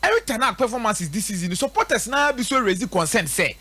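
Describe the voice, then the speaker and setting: The high, raspy Ghanaian man you would hear on a sports radio show, emphatically breaking down a football club's form, his voice leaping up and down. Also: very animated, brisk, pushed and bright. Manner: emphatic, rhetorical, repeats points for effect. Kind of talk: live football commentary and analysis, mixing local language and English.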